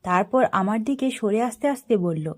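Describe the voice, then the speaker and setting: A woman storyteller narrating a first-person story in an expressive, dramatic way. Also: medium pitch, normal speed.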